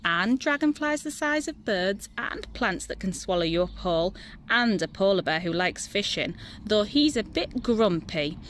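A woman voicing a playful, coaxing grandmother in a children's storybook, animated, with some background noise. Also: mid-range voice, normal speed, lightly acted. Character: playful grandmother spinning garden wonders for a child.

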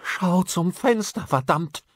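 A distraught young wife from a horror audiobook, acted by a male narrator. The voice is lifted high and husky, trembling with urgent fear. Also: expressive, takes it slowly, dry recording, studio quality, exaggerated voice. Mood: alarmed, distraught.

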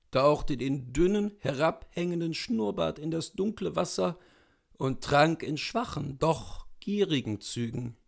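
A man in his thirties reading literary fiction aloud as an expressive narrator. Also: a little high, creaky. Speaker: literature reader. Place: small indoor room with bookshelves.

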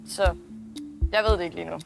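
A woman's voice: lowish voice, steady tone, gravelly, over music. A woman, a reality show contestant, talking in a chatty, gushing way in casual conversation.

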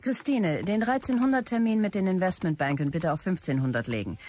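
Low-voiced brisk female executive. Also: animated, a little mellow, soft background music. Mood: brisk, commanding. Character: brisk female start-up executive dictating office mail. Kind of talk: German audio drama, dictated messages.

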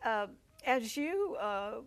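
Older woman, talk show host, animated, leading questions, lapel mic, studio. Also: a little high, slow. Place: TV studio set with living room decor.

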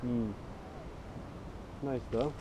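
A man's voice: medium pitch, steady tone, very slow, very noisy. A man in his thirties chatting casually in a laid-back way outdoors, picked up by an action camera. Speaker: hiking companion. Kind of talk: casual chat. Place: outdoors in a rock cave and forest. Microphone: action camera held by companion, off-mic.

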